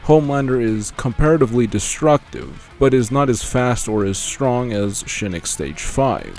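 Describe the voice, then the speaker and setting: A man's voice: mid-range voice, lively intonation, normal speed, darkish tone, soft background music. A man reading scripted narration for an explainer video.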